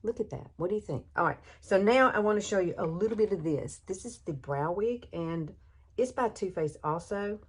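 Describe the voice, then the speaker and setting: A woman's voice: medium pitch, animated, normal speed, breathy edge. A woman in her sixties with a Southern US accent, chatting folksily through a makeup tutorial, filmed on a phone in her bedroom. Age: 60s. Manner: chatty, folksy, laughs often. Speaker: beauty vlogger. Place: bedroom at home. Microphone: phone camera, no external mic.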